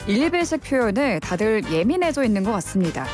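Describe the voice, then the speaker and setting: A woman hosting a news radio program, speaking in a measured, polished announcer's delivery. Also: a little high, very animated, music in the background.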